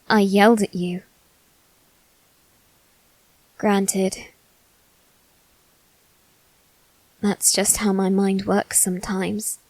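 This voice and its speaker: A woman plays a melancholy young noble boy in an intimate ASMR roleplay. The delivery is very slow and soft, with long dramatic pauses and little rise or fall in pitch. The voice is resigned and solemn, recorded dry and close. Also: no room sound, low-quality recording. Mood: pleading, regretful. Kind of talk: scripted ASMR roleplay monologue.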